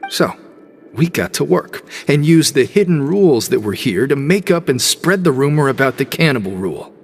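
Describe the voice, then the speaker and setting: An actor playing a blunt, loyal young prison gang member in a video game, with a street-tough manner. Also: male voice, medium pitch, very animated, moderate pace. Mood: earnest. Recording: long reverb, music behind.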